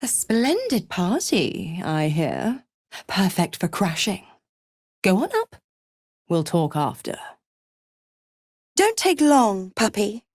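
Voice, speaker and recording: A woman's voice: mid-range voice, huge pitch swings, slow, pauses often, a little mellow, husky, no echo, clean studio sound, heavily acted. A woman voicing a sly, seductive devil in a video game, mocking and condescending, with a teasing theatrical drawl. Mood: amused, mocking. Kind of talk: video game character acting.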